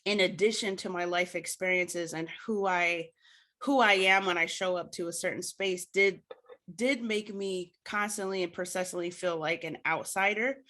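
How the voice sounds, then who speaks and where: American woman, bright forceful voice, slightly low pitch, earnest, articulate, proper diction, conversational personal storytelling. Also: fairly even intonation, normal speed. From United States. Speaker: podcast guest, literature scholar.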